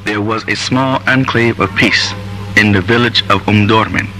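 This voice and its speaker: A man narrating a birth story in a measured, solemn reading. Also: lowish voice, loud music behind the voice, rough recording. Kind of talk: reading a story passage aloud.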